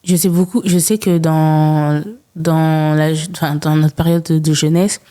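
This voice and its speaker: A woman's voice: low voice, level tone, a little slow, mellow. A woman hosting a podcast, speaking in an informal, conversational interview style. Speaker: podcast host.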